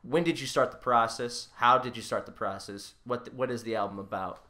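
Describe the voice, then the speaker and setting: A man's voice: mid-range voice, fairly even intonation, brisk, pushed and bright. A man hosting a casual podcast, rambling and repeating words as he works his way toward questions. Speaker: podcast host. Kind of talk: casual, unscripted interview chat.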